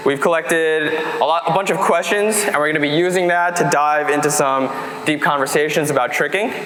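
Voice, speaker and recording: Bright-voiced man moderating in an echoey hall. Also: on the high side, a little fast. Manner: relaxed, with frequent fillers. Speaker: panel moderator. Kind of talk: hosting a panel discussion. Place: large hall at a sports event. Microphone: handheld mic. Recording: echoey hall, live audience noise.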